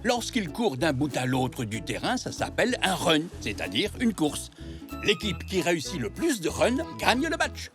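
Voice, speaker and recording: A woman voicing a lively grown-up zebra in a French children's cartoon, explaining things in an animated manner. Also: fairly low pitch, moderate pace, over music. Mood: cheerful explaining.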